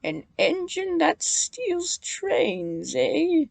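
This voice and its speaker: A sly, scheming old engine with a chuckling manner, as a woman storyteller puts him on. He speaks slowly, with a voice colored differently from hers. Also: extremely high pitch, big pitch swings, gravelly, a little mellow, no echo, voice pushed up, full character voice.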